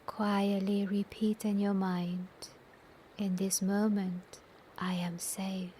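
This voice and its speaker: Soft, very slow woman guiding meditation. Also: medium pitch, level tone, a little hiss or hum. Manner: soft, breathy, near-whispered, soothing delivery with long pauses. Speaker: guided meditation instructor. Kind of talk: guided meditation, slow scripted instruction. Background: soft nature ambience.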